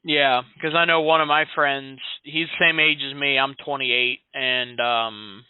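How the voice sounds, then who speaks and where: A man's voice: mid-range voice, steady tone, unhurried, smooth, dry recording. A man in his late twenties, an insurance sales agent, chatting casually and in a friendly way on a sales call, with many fillers. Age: 20s. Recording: dry, tinny phone line.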